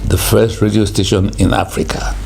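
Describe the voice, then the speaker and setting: An older man with a Nigerian accent and a croaky voice, a guest recalling the past at an unhurried pace. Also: fairly low pitch, little pitch movement, darkish tone, a little hiss or hum. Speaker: interview guest. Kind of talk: reflective storytelling.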